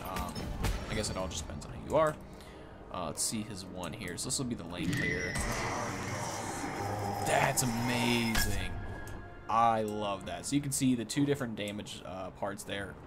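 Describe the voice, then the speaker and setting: A man's voice: on the low side, normal speed, pauses often, loud music behind the voice. A man giving casual, off-the-cuff game commentary in an informal way, thinking aloud as he goes. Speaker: gaming content creator giving a first look.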